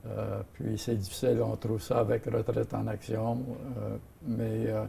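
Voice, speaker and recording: Older Franco-Ontarian man, gravelly and hesitant. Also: lowish voice, completely flat delivery, a little slow, warm tone, a little hiss or hum. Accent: Franco-Ontarian French. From Ottawa, Canada. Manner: hesitant, many fillers and restarts. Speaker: guest, seniors' association president. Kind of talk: casual interview talk.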